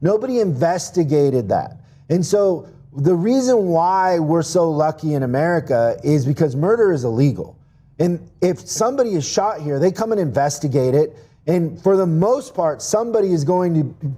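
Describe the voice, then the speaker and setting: A man's voice: a little high, warm tone. A man who grew up in Mexico, a podcast guest talking business in an earnest, casual way and often restarting his words. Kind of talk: casual interview talk.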